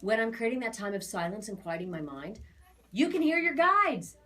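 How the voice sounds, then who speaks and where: A woman's voice: on the high side, sing-song, moderate pace, bright, forceful tone. A woman giving a conversational spiritual reading as an aura reader, talking in a chatty, emphatic, assured way.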